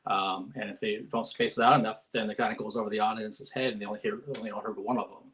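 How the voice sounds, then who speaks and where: A man's voice: on the low side, little pitch movement, fast, fairly bright tone, poor audio. A man hosting a live-streamed interview over a video call, friendly and chatty, with many fillers and restarts. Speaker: interviewer, host.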